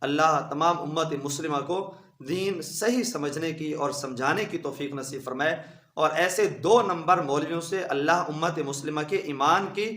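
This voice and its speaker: Male cleric, hoarse from a sore throat, indignant and emphatic, slightly high-pitched, roomy echo. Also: moderate pace, a bit bright. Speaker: Islamic cleric. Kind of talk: religious rebuttal speech. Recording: echoey room.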